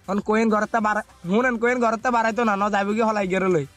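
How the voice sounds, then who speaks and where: A man's voice: sits high, steady tone, racing, a bit bright. A man with a Noakhali Bangladeshi accent making a casual prank phone call, hesitant and repeating himself. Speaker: prank caller. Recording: phone call with faint music.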